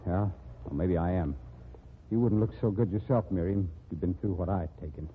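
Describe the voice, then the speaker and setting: A man's voice: low-pitched, fairly quick, slight background noise, natural voice. An actor playing a bitter, disgraced man down on his luck, in 1940s radio acting with a wry, self-mocking manner. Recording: tinny old radio broadcast.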